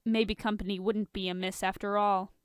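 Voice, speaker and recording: A woman's voice: mid-range voice, flat delivery, normal speed, clear, resonant tone, no room sound, clean studio sound. A woman reading a fan fiction story aloud as scripted narration. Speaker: audiobook reader of fan fiction.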